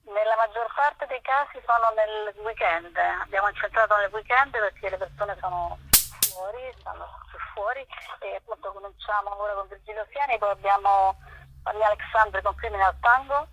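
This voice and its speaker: A woman's voice: mid-range voice, normal speed, fairly bright tone, no echo. A woman speaking as a guest organizer in a radio phone interview, over a muffled line.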